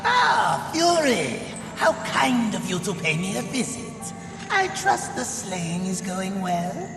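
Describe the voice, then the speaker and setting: In a reverberant space with music behind, a scheming demon merchant from a fantasy game. The delivery is unhurried and hugely animated, oozing mock courtesy and insinuation. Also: male voice, high-pitched, gravelly, exaggerated voice. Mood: mock welcome. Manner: theatrical, oily, insinuating. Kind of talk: dramatic fantasy video game acting.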